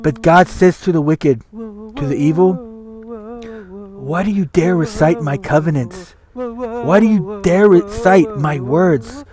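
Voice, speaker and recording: A man's voice: a little high, slow pace, pauses often, a crowd in the background. A man giving a solemn, declamatory, theatrical reading of scripture. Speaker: scripture reader. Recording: music under the voice. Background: music.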